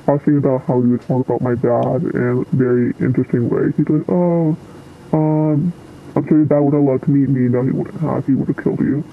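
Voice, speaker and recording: A teenage guest answering interview questions hesitantly, with many ums and likes. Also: male voice, medium pitch, creaky. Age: teens. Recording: tinny phone-like line with faint noise.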